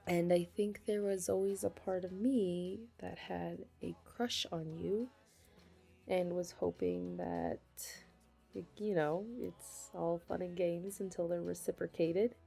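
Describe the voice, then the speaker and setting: A young woman playing a flustered, affectionate best friend with a secret crush in an intimate ASMR roleplay, speaking hesitantly and haltingly. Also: lowish voice, steady tone, gravelly, dark tone, slightly rough, faint music behind, full character voice. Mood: shy, confessional. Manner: hesitant, halting, breaking into nervous laughs. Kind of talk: ASMR romantic roleplay, intimate conversational acting.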